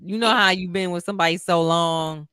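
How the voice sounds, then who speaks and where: A woman co-hosting a casual podcast, her voice bright and forceful. She speaks smoothly and clearly, with sassy, quick comebacks. The sound is dry and close. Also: on the low side, dry recording. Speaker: podcast co-host. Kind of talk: casual chat.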